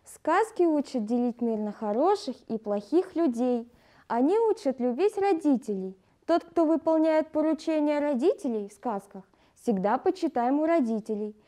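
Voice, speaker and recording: A woman's voice: sits high, lively intonation, moderate pace, mellow. A woman presenting a scripted educational lesson in a didactic way, addressing her pupils.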